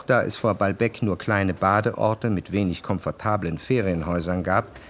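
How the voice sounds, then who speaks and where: An actor playing a reflective first-person narrator, delivering measured literary prose for a radio drama. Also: male voice, medium pitch, a little hiss or hum, lightly acted. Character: reflective male narrator recalling his memories, literary and measured.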